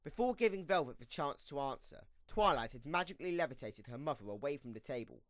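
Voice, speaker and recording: A man's voice: a little high, lively intonation, fast talker, fairly bright tone, dry recording. A man reading scripted story narration as the narrator of an audio drama.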